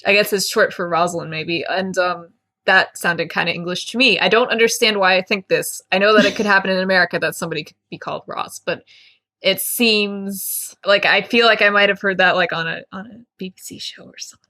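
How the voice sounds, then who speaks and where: A woman co-hosts a casual podcast about a book. She talks candidly and conversationally at an average pitch for a woman. The sound is dry, with very little room in it. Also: brisk, sharp tone, no room sound. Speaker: podcast co-host. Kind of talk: casual comedic book discussion.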